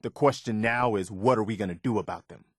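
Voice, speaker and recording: An actor voicing an earnest, principled, loyal but weary militia officer in a video game, speaking in a sincere, measured, plain-spoken way. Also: male voice, fairly low pitch, slightly rough, no room sound, studio quality. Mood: grave, determined. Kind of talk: video game character dialogue.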